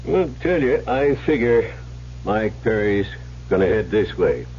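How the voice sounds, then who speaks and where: An actor playing a folksy, shrewd and confident country sheriff in an old-time radio drama. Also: male voice, fairly low pitch, sing-song, a little slow, a little mellow. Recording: muffled, noisy old radio recording.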